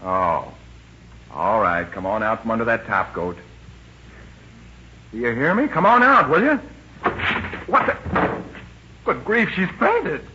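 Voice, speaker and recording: A man's voice: medium pitch, lively intonation, slow, long pauses, noisy background. Young male lead, wisecracking, easily flustered, swooping intonation, vintage radio, dull recording. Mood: exasperated. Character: flustered, wisecracking young American man on a cruise ship. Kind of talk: 1940s radio acting.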